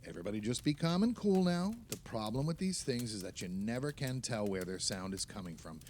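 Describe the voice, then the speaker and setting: A man's voice: fairly low pitch, lively intonation, brisk, darkish tone, a little hiss or hum, close to an everyday voice. An actor playing a fretful, self-doubting older male novelist in a naturalistic audio play, hesitant and prone to rambling complaint. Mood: agitated.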